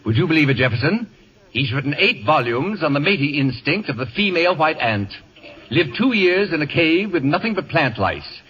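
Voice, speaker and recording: A dull, vintage radio recording of an imperious, sharp-tongued man of letters. His delivery is highly animated and grandly theatrical, full of pompous sarcasm. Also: normal speed, husky, heavily acted. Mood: sardonic. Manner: pompous, sardonic, theatrical insults. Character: pompous, acid-tongued, imperious male celebrity house guest. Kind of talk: 1950s radio comedy acting. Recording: dull lo-fi old radio broadcast.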